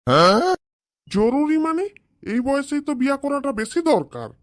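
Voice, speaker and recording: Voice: high-pitched, sing-song, moderate pace. A performer voicing a stubborn old father in a comedy cartoon, retorting defiantly. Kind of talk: exaggerated comic cartoon dialogue.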